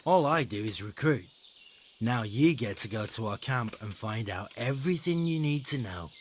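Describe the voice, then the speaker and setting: A man acts out a mocking bandit recruiter from a medieval game. He is brusque and needling, in a middling male pitch. Faint outdoor nature noise sits behind the voice. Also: lively intonation, normal speed, close to an everyday voice. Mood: sardonic, instructive. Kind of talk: video game cutscene acting.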